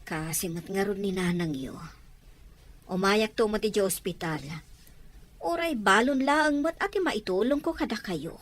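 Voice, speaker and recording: A woman's voice: medium pitch, animated, measured pace. A woman with a Filipino accent playing a concerned, neighborly middle-aged woman in an audio drama.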